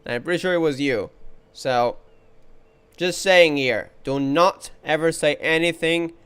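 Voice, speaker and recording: Clear-voiced man doing casual game commentary. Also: a little high, measured pace, a bit bright. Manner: teasing, talks back to the game characters. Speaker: streamer. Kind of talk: casual playthrough commentary, reacting aloud and chatting with viewers.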